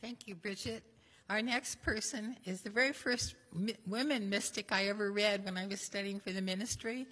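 Earnest, unhurried older woman pastor, reverberant church hall. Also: mid-range voice, fairly even intonation, a bit bright. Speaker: minister leading the service. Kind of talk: introducing speakers in a service. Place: church sanctuary at a lectern. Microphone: lectern microphone.

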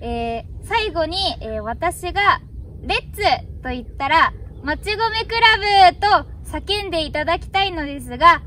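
A woman's voice: very high voice, lively intonation, moderate pace, sharp tone, slight background noise. A woman in her twenties making polite, formally worded radio contact calls outdoors. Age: 20s. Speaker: amateur radio operator. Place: outdoors on a hillside roadside.

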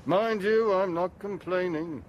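An actor playing a glum, hard-done-by police inspector in a British radio drama, terse and resigned. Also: male voice, high voice, a little slow, lo-fi sound. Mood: resigned, aggrieved. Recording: radio drama with faint ambient noise.

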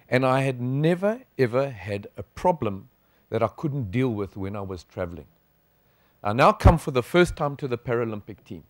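A man's voice: mid-range voice, no echo. A middle-aged South African man, a sports physician, lecturing at a conference from a lectern with conversational, emphatic delivery. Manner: conversational, animated with gestures, emphatic pauses. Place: conference hall stage at a lectern. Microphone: lectern microphone.

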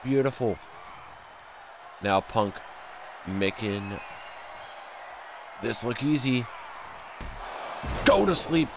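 A young man with a stuffy nose doing excitable play-by-play commentary over a wrestling video game, breaking into loud exclamations, recorded at home on a desk mic. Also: mid-range voice, extremely slow, long pauses, crowd noise, background noise. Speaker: gameplay commentator.

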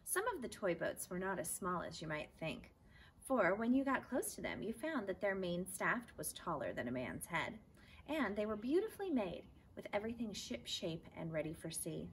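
A woman's voice: medium pitch, big pitch swings, moderate pace. A woman reads a children's chapter book aloud expressively, outdoors. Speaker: teacher reading aloud. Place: outdoors in a backyard garden. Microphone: camera a few feet away. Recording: faint outdoor background noise.

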